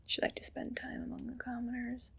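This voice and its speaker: A woman playing a haughty, condescending evil queen in a whispered ASMR roleplay, breathy and deliberate. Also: fairly high pitch, monotone, a bit bright, heavily acted. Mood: disdainful, resentful. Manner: slow, deliberate, imperious and condescending.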